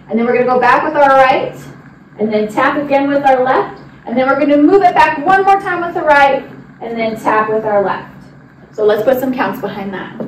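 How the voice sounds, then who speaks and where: A young woman giving step-by-step line dance instruction, counting out the moves as she demonstrates them. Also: high voice. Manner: directive, demonstrating while moving. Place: large indoor dance studio with wooden floor. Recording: roomy, distant mic with noise.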